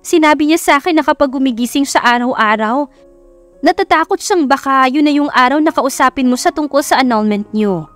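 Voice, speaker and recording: Audiobook role: concerned older wealthy mother, Filipino accent, pleading and careful read by a woman, higher pitch, music underneath. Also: brisk, a bit bright. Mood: sad, pleading.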